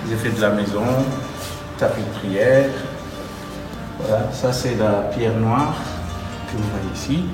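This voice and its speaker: A man's voice: on the low side, slow, long pauses, echoey room, over music, rough recording. A man from Senegal, an imam, guiding visitors around his centre and explaining, with many fillers. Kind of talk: guided tour explanation.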